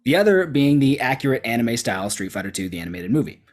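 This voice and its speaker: A man's voice: mid-range voice, sing-song, quick pace. A man debating on a movie show, delivering a prepared argument in a sarcastic, emphatic and casually sweary way.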